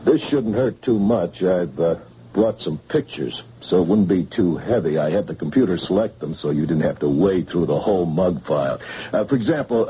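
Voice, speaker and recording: An actor playing a deep, gruff police sergeant. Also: male voice, dark tone, a little hiss or hum, lightly acted. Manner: slow, coaxing, hesitant with fillers. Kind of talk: 1940s radio acting. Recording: dull old radio broadcast.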